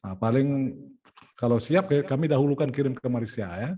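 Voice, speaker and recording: Mellow-voiced Indonesian man organizing an event. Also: mid-range voice, animated, a little fast, slight background noise. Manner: explaining logistics step by step, frequent "nah" and "ya". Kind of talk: planning meeting talk.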